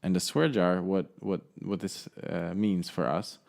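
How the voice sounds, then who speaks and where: A man co-hosting a podcast, talking in a measured, explaining way and asking follow-up questions. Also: sits low, steady tone, vocal fry, darkish tone. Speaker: podcast co-host. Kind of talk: casual chat.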